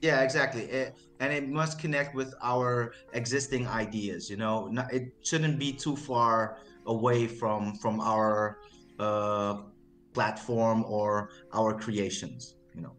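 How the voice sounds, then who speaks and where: A man whose first language is not English, speaking as a guest on a stream with slight Texan traces in his accent, often halting, repeating words and laughing. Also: fairly low pitch, steady tone, a bit bright. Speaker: crypto project CEO, guest. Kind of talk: casual interview chat.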